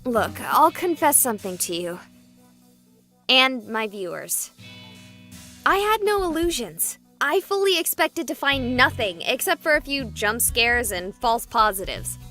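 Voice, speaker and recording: A woman's voice: fairly high pitch, big pitch swings, a little slow, bright, forceful tone, soft background music, full character voice. An actress voicing a skeptical, exasperated young female game streamer in a visual novel.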